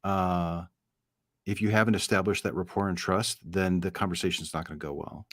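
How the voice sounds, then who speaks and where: Male co-host with a lowish voice, dry close sound, relaxed and explanatory. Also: normal speed, dry recording. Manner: explanatory, uses "like" often and acts out quotes. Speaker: street interviewer, co-host. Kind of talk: casual conversational explanation.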